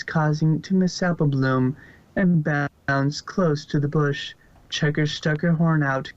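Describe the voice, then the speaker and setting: A man's voice: on the high side, a little mellow, no room sound. A man narrating fan fiction as a scripted story reading. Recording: muffled, dry lo-fi recording.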